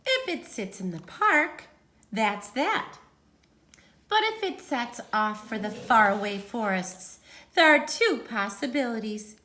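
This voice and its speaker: A woman reads a children's story in a high, bright voice. The delivery is theatrical and unhurried, with dramatic pauses, hushed setups and sudden emphatic swells. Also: very animated. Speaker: teacher reading a picture book aloud.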